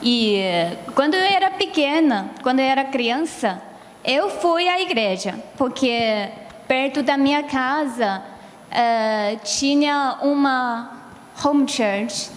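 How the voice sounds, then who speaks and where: A woman's voice: high-pitched, expressive, slow pace. A young woman from China with a Chinese accent, a student speaking hesitantly and searching for words as she tells her story. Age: young adult. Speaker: international student guest. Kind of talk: hesitant testimony. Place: church sanctuary. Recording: long church hall reverb, faint noise.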